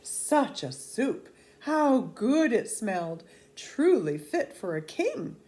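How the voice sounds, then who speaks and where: Female children's storyteller, expressive read-aloud with dramatic emphasis, slow pace, very animated intonation. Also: a little high.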